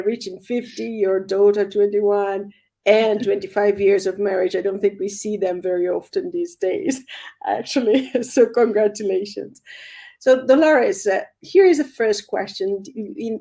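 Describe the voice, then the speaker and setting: A woman's voice: medium pitch, creaky, dark tone. A woman hosting an interview conversation, welcoming her guest and asking questions with some hesitant phrasing and frequent affirmations. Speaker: host.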